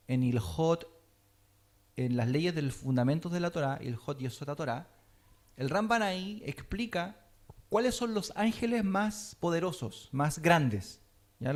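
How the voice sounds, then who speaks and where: A man's voice: a little high, lively intonation, unhurried. A man teaching a sermon, often checking in with his listeners. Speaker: teacher of a Messianic congregation.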